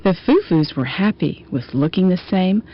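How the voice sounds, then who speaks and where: Lively woman reading a children's story, slightly muffled. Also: on the low side, normal speed. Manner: expressive, storytelling delivery. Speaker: children's book narrator. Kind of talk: rhyming picture-book read-aloud. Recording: dull, lo-fi audio.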